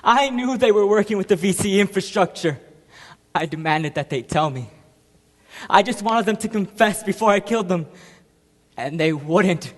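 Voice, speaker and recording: A man performing a stage monologue as a guilt-ridden war veteran, halting and intense, swinging between hushed and explosive. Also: high-pitched, expressive. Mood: haunted, subdued. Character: guilt-ridden male war veteran confessing, haunted and anguished. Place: large echoing room, performing to an audience. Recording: reverberant hall recording.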